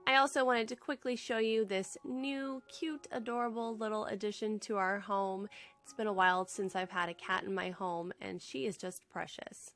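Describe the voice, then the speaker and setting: A woman's voice: mid-range voice, fairly even intonation, moderate pace, a bit bright, soft background music. A young woman with a head cold, chatting in a friendly, upbeat way as she narrates a casual home decor how-to vlog from home.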